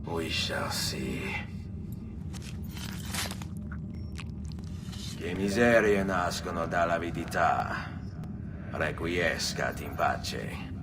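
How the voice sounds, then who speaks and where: Deep-voiced brooding young Italian assassin. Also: male voice, slow pace, very noisy. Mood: grim, solemn. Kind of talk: dramatic video game cutscene acting. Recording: game soundtrack music behind the voice.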